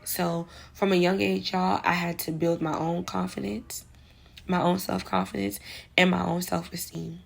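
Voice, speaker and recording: A woman's voice: lowish voice, flat delivery, normal speed, gravelly. A young adult woman with a New York accent, chatting casually and directly to her viewers in an advice vlog, with lots of "like" fillers. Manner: chatty, speaks straight to viewers, many "like" fillers. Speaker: vlogger.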